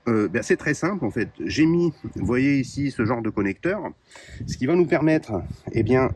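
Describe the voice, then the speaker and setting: A man casually presenting his radio kit to viewers, talking in a relaxed way with many pauses and fillers. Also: mid-range voice, husky. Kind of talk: casual hands-on gear demo.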